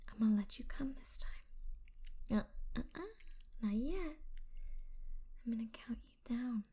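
A young adult woman whispering an intimate roleplay, teasing and commanding, with soft hums between phrases. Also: a little high, unhurried, husky.

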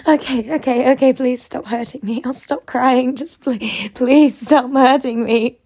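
A woman voices a submissive young woman with a high, breathy voice who pleads in slow, drawn-out phrases. She often sinks into hushed whispers. The tone stays soft and airy throughout. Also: steady tone, heavily acted. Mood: pleading. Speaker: voice actor in an adult audio roleplay. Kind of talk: scripted audio roleplay acting.

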